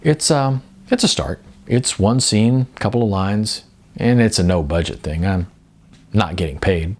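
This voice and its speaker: A man voicing a modest, good-natured middle-aged amateur actor who speaks haltingly, in a storybook reading. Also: fairly low pitch. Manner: halting, hesitant, with ums and stumbles.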